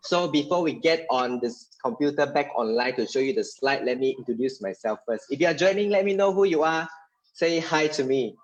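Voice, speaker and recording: A man's voice: medium pitch, animated, brisk, lo-fi sound. A man with a Malaysian accent hosting a casual live stream, chatting and code-switching, repeating himself as he waits for viewers.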